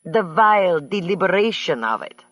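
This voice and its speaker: A woman's voice: fairly high pitch, lively intonation, a little slow, a bit bright, no room sound, studio quality, voice pushed up. A man voicing a fussy wealthy woman who gushes and mangles her phrasing. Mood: insistent. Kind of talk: audiobook character voice.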